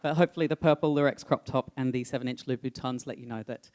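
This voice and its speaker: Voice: medium pitch, steady tone, fast talker, darkish tone. A playful, self-mocking host opening a live community forum from a stage. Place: stage in a hall.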